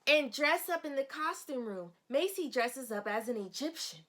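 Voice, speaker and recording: Higher-pitched woman reading aloud to children. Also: normal speed. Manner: expressive, explains words simply, poses questions. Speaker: teacher reading a picture book aloud to young children. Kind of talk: read-aloud storytelling with questions to children.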